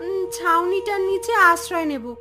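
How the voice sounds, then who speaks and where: Woman voicing very high-pitched caring village girl. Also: fairly even intonation, moderate pace. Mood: reassuring. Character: two young village sisters, caring, one anxious, one calm. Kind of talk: children's fairy-tale cartoon dubbing. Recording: music behind the voice.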